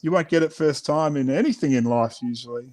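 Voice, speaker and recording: Australian male author as interview guest, middle-aged, mid-pitched voice, sincere and thoughtful, conversational. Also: a little fast, a little mellow.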